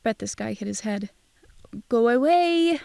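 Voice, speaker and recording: A woman's voice: fairly high pitch, lively intonation, measured pace, vocal fry, mellow, clean tone, no room sound. A woman playing a wry, cynical young fake psychic, narrating an audio drama in a sardonic, confiding way. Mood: alarmed. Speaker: first-person narrator and lead character.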